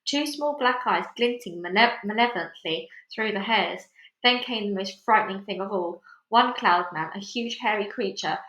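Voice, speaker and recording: A woman reading a children's storybook aloud in an expressive, dramatic way. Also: mid-range voice, normal speed, highs cut off. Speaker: book reader. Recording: echoey room.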